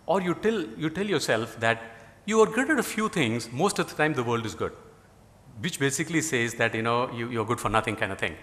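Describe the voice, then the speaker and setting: Bright-voiced Indian man in a reverberant hall. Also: medium pitch. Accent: Indian English. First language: Tamil. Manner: rambling, many "you know" fillers, dry humor. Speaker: guest speaker. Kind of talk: conference talk.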